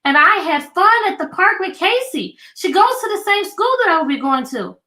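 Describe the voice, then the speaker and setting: A woman's voice: very high-pitched, big pitch swings, moderate pace, sharp tone, voice pushed up, full character voice. A woman voicing a sweet, eager little girl from a storybook with a singsong childlike delivery. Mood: happy.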